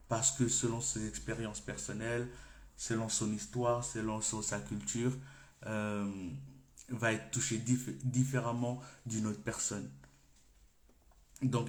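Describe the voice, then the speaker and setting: A man's voice: medium pitch, mellow. A man whose first language is Comorian, giving an unscripted coaching talk to camera in French. He thinks aloud in an informal way, often stopping and restarting his phrases. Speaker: personal development coach. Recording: echoey room.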